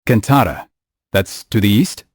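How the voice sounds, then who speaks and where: An actor playing a grave, brooding young medieval assassin in a video game cutscene, measured and serious. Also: male voice, on the low side, expressive, husky, no room sound. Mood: questioning. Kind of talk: scripted game cutscene acting.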